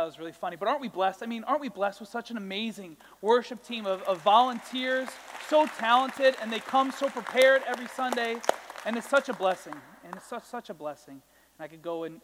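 A young man with a naturally high voice preaching a sermon. He talks conversationally, teases himself and puts asides to the congregation. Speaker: pastor. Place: church sanctuary. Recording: long hall reverb.

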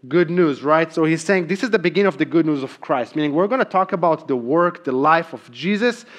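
A man's voice: on the high side, expressive, fairly quick. A man preaching a sermon as a church pastor, earnest, with frequent ums and emphatic repeated key phrases. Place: church sanctuary.

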